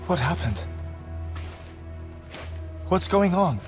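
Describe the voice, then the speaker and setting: A man's voice: sits high, sing-song, drawn-out words, soft background music, heavily acted. An actor playing a scholarly scientist haunted by his lost family in a cinematic video game. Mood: desperate, anguished.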